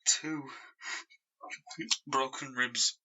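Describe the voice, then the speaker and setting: An actor playing a traumatised man in an audio drama, recounting his ordeal in a halting, haunted monologue. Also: medium pitch, fairly even intonation, bright, forceful tone, exaggerated voice, low-quality recording. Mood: anguished, shaken. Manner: slow, halting, haunted delivery with repeated words. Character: traumatised man reliving a savage dog attack.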